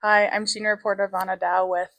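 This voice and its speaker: A teenage girl working as a student reporter, asking brisk, cheerful interview questions. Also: mid-range voice, little pitch movement, gravelly, fairly bright tone, no room sound. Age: teens.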